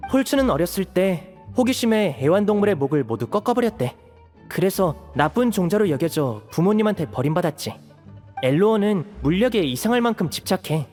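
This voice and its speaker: An actor playing a poised young man in a video game, a caring elder brother who explains things smoothly and takes gentle charge. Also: sits high. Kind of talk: Korean video game voice acting. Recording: long reverb, game music behind. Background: music.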